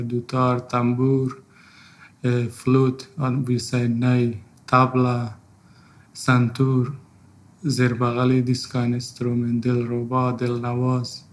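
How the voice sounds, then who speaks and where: A man in his thirties from Afghanistan with an Afghan accent and Farsi as his first language, telling his own story in halting English. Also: mid-range voice. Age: 30s. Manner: halting, non-fluent English. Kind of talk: personal spoken testimony.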